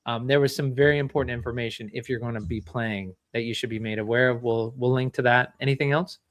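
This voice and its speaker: A man hosting a casual chat, asking long rambling questions and giving shout-outs. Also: mid-range voice, fairly even intonation, fast, dry recording. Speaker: show host.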